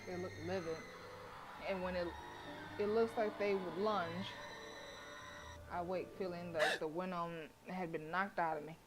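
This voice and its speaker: A woman streamer reading a visual novel aloud as expressive live narration. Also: fairly low pitch, unhurried, long pauses, over music.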